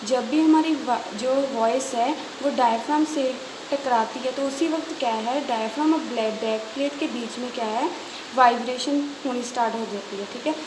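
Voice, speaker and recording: A woman's voice: on the high side, normal speed. A woman with an Indian accent giving a lecture as an electronics teacher, reading out notes and explaining them, often checking in with short tags. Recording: echoey room with hiss and noise.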